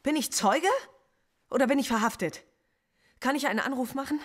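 A guarded radio-drama academic, husky voice, icy and curt. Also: female voice, high-pitched, fairly quick. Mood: defiant. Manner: curt, cool and defiant. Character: defiant female philosophy professor under interrogation. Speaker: philosophy professor. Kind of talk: radio play acting.

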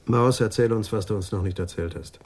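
A man's voice: lowish voice, brisk, a little mellow, husky. A man playing a stern, methodical police adjutant in a radio crime drama, firm and matter-of-fact. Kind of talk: 1980s German radio play acting.

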